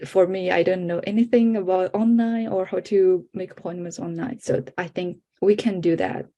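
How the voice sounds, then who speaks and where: A woman's voice: fairly low pitch, steady tone, mellow. A woman presenting at an online workshop who grew up in China, a native Chinese speaker who speaks English hesitantly, with many restarts and fillers. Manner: halting, with frequent restarts and fillers. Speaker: conference presenter.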